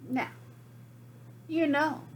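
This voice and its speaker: A woman's voice: high voice, steady tone, extremely slow, a little hiss or hum. A woman reading a storybook aloud as a folksy wolf, chatty and dramatic, excusing himself with feigned innocence. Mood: sly, matter-of-fact. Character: folksy, self-excusing wolf telling his side. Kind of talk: storybook read aloud to children.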